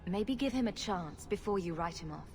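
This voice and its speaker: A woman's voice: medium pitch, expressive, moderate pace, darkish tone, long reverb tail, soft background music, close to an everyday voice. An actress playing a wry, sarcastic female rogue adventurer in a fantasy video game, with a dry delivery. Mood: sincere. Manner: dry, flippant.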